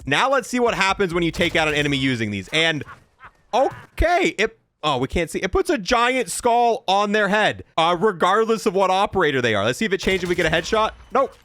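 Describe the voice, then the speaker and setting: A man's voice: high voice, sing-song, brisk, sharp tone. A man giving casual, offhand commentary as he showcases a video game, with some dry humor. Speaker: gaming video presenter.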